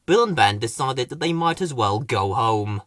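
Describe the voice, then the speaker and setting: A man's voice: mid-range voice, expressive, moderate pace, fairly bright tone, dry recording, studio quality. A man narrating a children's story as an audiobook reader.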